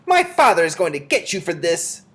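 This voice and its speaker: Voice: mid-range voice, wildly swooping pitch, moderate pace, pitched above the performer's own voice. A performer voicing a greedy, demanding small boy in a storybook, blunt and pushy. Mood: defiant. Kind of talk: storybook character voice.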